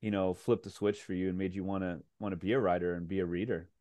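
A man's voice: low voice, steady tone, fast talker, dark tone, no room sound. Chatty male podcast host, dry sound. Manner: eager, chatty questions with restarts. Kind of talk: conversational interview. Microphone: remote call mic.

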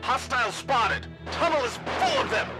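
A tense military commander voiced by a man barks clipped orders over the radio. His voice sits high and moves a lot. Loud music and artillery boom behind him. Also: wildly swooping pitch, normal speed, exaggerated voice. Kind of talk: fan audio drama acting.

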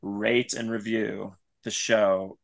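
A man's voice: fairly low pitch, level tone, takes it slowly, vocal fry. A man speaking as a guest creator in a casual, conversational podcast interview. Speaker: podcast guest, writer and audio series creator.

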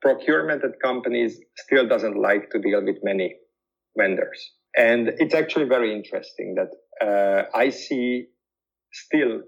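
A man's voice: mid-range voice, measured pace, vocal fry. A man whose first language is Hungarian, answering interview questions thoughtfully and repeating words as he searches for phrasing. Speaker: guest. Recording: dull lo-fi audio.

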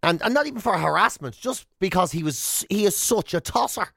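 A man with an Irish accent co-hosting a comic radio show, telling stories in an excitable, rambling way. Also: sits high, fairly quick, creaky, dry recording, clean studio sound.

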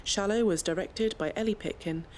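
An announcer reads the closing credits in an even, formal voice. The pitch sits in the middle, and a faint noise runs beneath the reading. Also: moderate pace.

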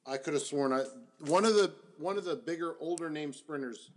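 A man co-hosting a casual sports podcast, tossing out teasing jokes in quick banter. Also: a little high. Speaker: podcast co-host.

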